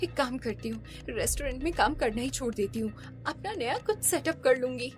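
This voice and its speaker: A woman plays a determined young heroine in a cartoon, with a fairly high voice. She speaks earnestly, protesting and holding her ground, over clear background music. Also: animated, a little fast.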